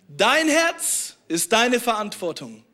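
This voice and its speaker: A man's voice: extremely high pitch, sing-song, slow, bright, forceful tone. A man preaching a sermon to his congregation, earnest, repeating phrases and restarting often. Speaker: pastor.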